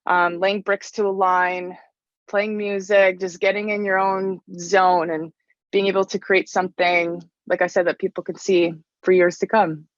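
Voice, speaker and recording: A woman's voice: fairly low pitch, little pitch movement, measured pace, no echo. Chatty young woman, dry dull sound. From Canada. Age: young adult. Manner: chatty, frequent "like" fillers. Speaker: interview guest, stone mason. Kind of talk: casual interview answers.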